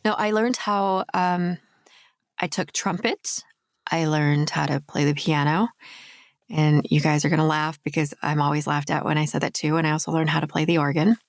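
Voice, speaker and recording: A middle-aged woman with a naturally gravelly voice, co-hosting a podcast in casual, chatty conversation and quick to laugh. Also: low-pitched, steady tone, no room sound, studio quality. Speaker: podcast co-host. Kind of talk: casual chat.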